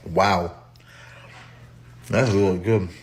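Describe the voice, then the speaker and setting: A man's voice: deep voice, fairly even intonation, drawn-out words. A man giving a casual taste test review for a video.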